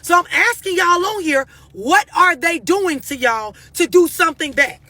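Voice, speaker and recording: A woman's voice: extremely high pitch, animated, normal speed, pushed and bright, no echo. An American woman giving blunt, unscripted relationship advice on a live stream, confrontational and emphatic, pressing her questions insistently. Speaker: host of a live stream talking to followers.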